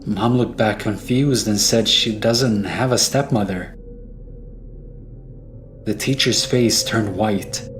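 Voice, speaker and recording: A man's voice: on the low side, slow pace, long pauses. A man narrating a scripted first-person horror story. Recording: music behind the voice.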